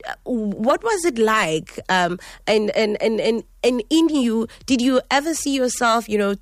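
A woman's voice: fairly high pitch, animated, unhurried, no room sound, clean studio sound. A woman hosting a radio talk show, speaking earnestly with frequent fillers. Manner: earnest, many fillers and restarts. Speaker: radio host.

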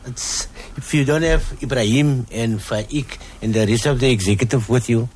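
A man's voice: mid-range voice, normal speed, darkish tone, slight background noise. Laid-back South African chairman, laughing easily. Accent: South African, Cape Afrikaans-influenced. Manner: laughing, code-switching, loose and chatty. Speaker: choir chairman. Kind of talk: casual interview chat.

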